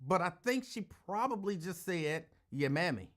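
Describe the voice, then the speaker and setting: A man talking casually as a talk show host, in a measured, somewhat hesitant way that circles back over words. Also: sits high, animated, a bit bright. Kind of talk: casual chat.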